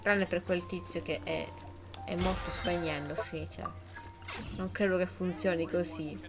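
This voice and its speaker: Lowish-pitched chatty Italian woman streaming. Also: steady tone, normal speed. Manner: chatty, reactive. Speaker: game streamer. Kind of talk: casual live commentary on a game. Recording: game music behind the voice.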